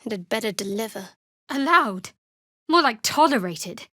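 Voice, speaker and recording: A woman voicing a guarded, sardonic young female cleric in a fantasy video game, with a dry, measured, wry delivery. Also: fairly high pitch, lively intonation, a bit bright, no echo. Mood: wary, dry.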